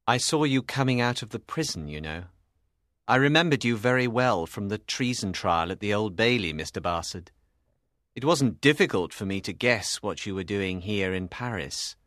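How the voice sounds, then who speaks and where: An actor playing a cool young lawyer with quiet, smooth menace in a dramatised audiobook. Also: male voice, lowish voice, expressive, normal speed, no echo, clean studio sound. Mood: smooth, knowing.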